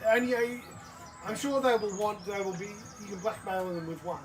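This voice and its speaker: A game master improvising narration at a tabletop game, halting and feeling for words. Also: medium pitch. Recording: distant from mic, music over the voice. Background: music.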